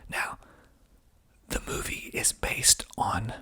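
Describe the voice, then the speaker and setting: A man from the southern United States whispering in a breathy, deliberate, conversational way as an ASMR channel host. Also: pushed and bright. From southern United States (Georgia). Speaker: filmmaker hosting his own channel.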